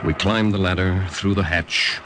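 A man's voice: low voice, steady tone, normal speed, heavy background noise, close to an everyday voice. An actor playing a tense American wartime secret agent, narrating his mission with dramatic suspense in 1950s radio style. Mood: measured narrating. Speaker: actor in lead role, narrating in first person. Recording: old 1950 radio recording, music behind.

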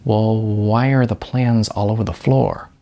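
A man's voice: on the low side, fairly even intonation, measured pace, warm tone. A man voicing a hopeful young male musician looking for work in an audiobook, earnest, hesitant and pleading.

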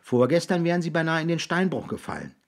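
A man voicing an earnest, high-voiced young boy. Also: lively intonation, fast, lightly acted. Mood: earnest, patient. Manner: patient, gently insistent explaining. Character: earnest young boys, patient and solemn brothers.

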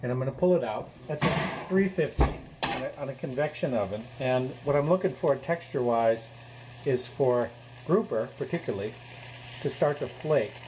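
A man's voice: mid-range voice, lively intonation, moderate pace. A middle-aged man, a chef, explaining step by step while he cooks at a gas stove in a home kitchen, recorded by a camera across the room. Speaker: chef and store co-owner. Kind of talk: cooking demonstration. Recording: kitchen recording with clear frying noise. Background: frying and sizzling pan.

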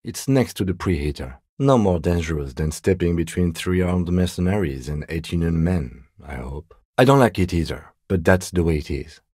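A middle-aged male police lieutenant for a video game, with a low, husky voice recorded close and dry. He is dignified and restrained, choosing words precisely and keeping a deadpan calm. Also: moderate pace, hardly any pauses, no echo. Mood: measured, matter-of-fact. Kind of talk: video game character dialogue.

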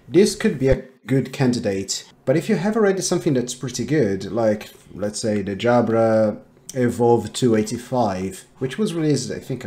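A man in his thirties giving a casual product review to camera, talking in a halting way as he thinks aloud. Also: medium pitch, dark tone. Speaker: tech reviewer. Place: small home office room, indoors.

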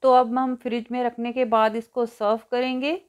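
High-voiced woman narrating a recipe. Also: level tone, moderate pace, smooth, muffled recording. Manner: instructional, step-by-step. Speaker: cooking show host. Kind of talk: recipe tutorial voice-over.

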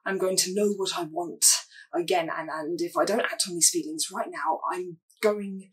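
A woman playing an awkward, lovestruck young person, hesitant and earnest in a halting monologue. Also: lowish voice, steady tone, husky, recorded from a distance, low-quality recording. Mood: earnest yearning. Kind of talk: dramatic monologue acting.

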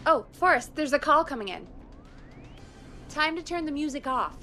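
A woman playing an excitable, earnest radio producer in a video game. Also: sits high, moderate pace, faint music behind. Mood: excited, teasing.

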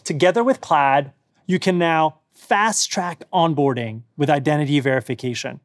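Middle-aged guy wrapping up a keynote, measured delivery, dry close sound. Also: a little high, no echo. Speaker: company executive presenting. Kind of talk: scripted keynote presentation. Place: studio set.

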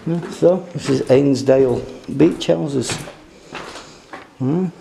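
Gravelly man exploring. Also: medium pitch, slow, dark tone, echoey room. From England. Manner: jokey, rambling, swears casually. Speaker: urban explorer vlogger. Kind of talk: casual handheld exploring commentary. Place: inside a derelict house. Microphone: handheld camera mic. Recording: noisy camera audio.

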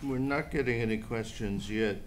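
An older man from Australia moderating a conference panel, hesitant and halting between words. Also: mid-range voice, slight background noise, rough recording. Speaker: panel chair. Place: conference room with audience. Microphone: table microphone.

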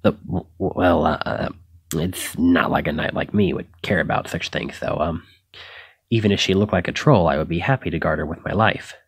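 Guy playing a nervous young knight for asmr roleplay, kinda low voice, stutters and second guesses himself, dry close sound. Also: moderate pace, husky, dry recording. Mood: embarrassed, awkward. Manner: stammering, hesitant, apologetic. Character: shy, flustered, self-doubting young male knight.

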